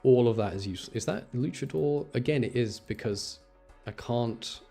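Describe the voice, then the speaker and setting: A man's voice: mid-range voice, moderate pace, gravelly, dark tone, soft background music. A man giving casual, chatty live game commentary, thinking aloud and correcting himself with mild exasperation. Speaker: game streamer.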